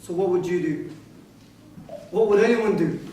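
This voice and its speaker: An actor playing a young, street-hardened migrant prisoner in a staged reading, plain-spoken, with non-standard grammar and a defensive, pragmatic air. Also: male voice, high-pitched, normal speed, close to an everyday voice. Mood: defiant. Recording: distant mic in echoey room, noisy.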